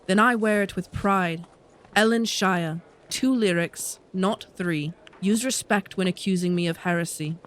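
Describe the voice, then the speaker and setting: A man's voice: high voice, a little slow, a bit bright. A man voicing a proud, defiant elf woman in an audio drama, with a cold, clipped delivery. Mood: cold defiance. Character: proud, defiant female elf outcast, centuries old, cold.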